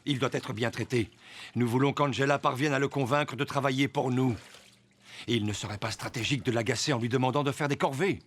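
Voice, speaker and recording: A man's voice: medium pitch, fairly even intonation, brisk, slight background noise, close to an everyday voice. A man voicing a condescending, scheming villain boss in a cartoon, sardonic and commanding. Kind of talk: animated cartoon dub.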